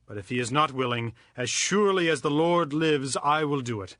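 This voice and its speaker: A man's voice: fairly high pitch, animated, moderate pace, pushed and bright, no echo, studio quality. An actor playing a kindly, upright male landowner in dramatized scripture, earnest, declamatory and authoritative. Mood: gentle, earnest.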